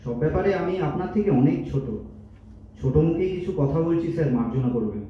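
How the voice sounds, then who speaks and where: A man's voice: a little high, lively intonation, quick pace, natural voice. A man playing an earnest, principled young accountant in an amateur stage audio drama, deferential yet impassioned as he makes his case. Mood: indignant, impassioned. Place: multipurpose hall stage. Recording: distant echoey hall, dull, faint noise.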